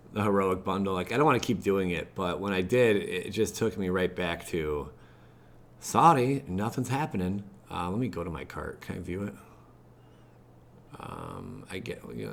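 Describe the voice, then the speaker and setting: Low-pitched American man, rambling casually. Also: vocal fry, fairly bright tone. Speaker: gaming channel host. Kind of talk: casual livestream chat, reacting to web pages.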